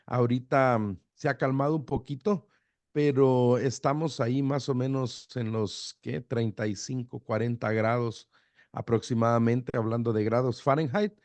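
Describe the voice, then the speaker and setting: A middle-aged man from El Salvador whose Spanish carries a mixed Salvadoran and Guatemalan border accent. His voice sits at an ordinary middle pitch and sounds dry and close. He talks in an easygoing way, with many pauses and repeated words. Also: no room sound. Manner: relaxed, hesitant with fillers and repeated words. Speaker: pastor, guest. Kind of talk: radio interview, conversational.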